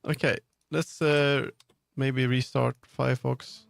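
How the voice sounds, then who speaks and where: Man rambling on a livestream, slightly creaky. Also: mid-range voice, little pitch movement, takes it slowly, no room sound. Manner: rambling, muttering to himself, jumping between thoughts. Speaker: streamer. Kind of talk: casual livestream chat.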